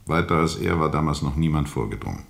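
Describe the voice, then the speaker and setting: An actor playing a brooding, world-weary riverboat captain who recounts a jungle journey deliberately in a radio drama. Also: male voice, very deep voice, animated, creaky, full character voice. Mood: guarded, reflective. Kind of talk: radio drama first-person narration.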